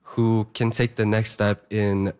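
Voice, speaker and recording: A hoarse young man, a fresh high school graduate, talking earnestly with many fillers as a radio interview guest. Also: little pitch movement, slow pace, darkish tone. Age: young adult.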